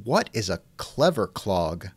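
A man's voice: fairly low pitch, big pitch swings, takes it slowly, slightly rough, dry recording. A man hosting a conversational interview, asking questions in a wry, skeptical manner. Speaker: interviewer, host. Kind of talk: reenacted text-chat interview, conversational.

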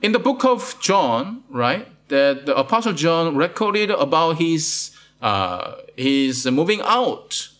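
Casting: middle-aged Malaysian-accented male pastor, high lively pitch, studio sermon, keeps checking "right?". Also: slow pace, few pauses. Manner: asks "right?" after most points, repeats words. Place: studio with plain blue backdrop.

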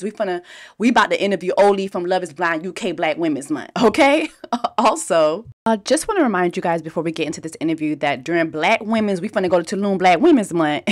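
A woman hosting her channel, greeting viewers and plugging sign-ups in an upbeat way. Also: fairly low pitch. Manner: upbeat, direct address to viewers. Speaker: host. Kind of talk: channel intro and promo.

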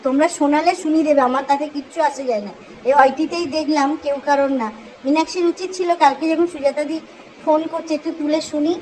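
A woman speaking informally in a voice message, explaining herself earnestly and haltingly. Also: high-pitched, steady tone, darkish tone. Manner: earnest, self-justifying, halting. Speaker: caller leaving a voice message. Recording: noisy amateur voice message recording.

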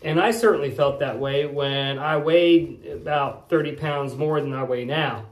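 A man's voice: on the high side, fairly even intonation, measured pace. A man in his forties hosting a casual shaving vlog, talking in a chatty, rambling way while lathering and shaving in a small home bathroom. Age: 40s. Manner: chatty, rambling, folksy explanations. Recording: echoey bathroom.

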